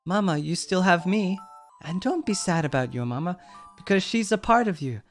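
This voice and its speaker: A man's voice: sits high, expressive, moderate pace, pitched above the performer's own voice. A man voicing a small, childlike star creature who speaks earnestly and comfortingly. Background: background music.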